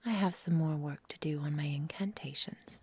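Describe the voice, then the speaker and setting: Casting: possessive immortal witch played by a woman, low-pitched, whispery and mellow, formal and tenderly doting, for ASMR roleplay. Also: moderate pace, slight background noise, lightly acted. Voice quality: soft, breathy, whispery. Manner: tender endearments, formal old-fashioned storybook phrasing. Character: possessive, adoring immortal witch living in the forest. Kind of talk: intimate ASMR roleplay, slow dramatic delivery.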